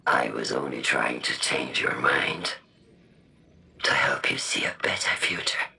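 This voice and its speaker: A grandiose philosophizing visionary from a video game, with an extremely low and piercingly bright, forceful voice. He orates slowly and portentously, gravel in every phrase, over music. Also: heavily acted. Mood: grave, imperious. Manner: slow, portentous, oratorical. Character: grave, grandiose, philosophizing male visionary speaking across time. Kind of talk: scripted video game monologue. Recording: music under the voice.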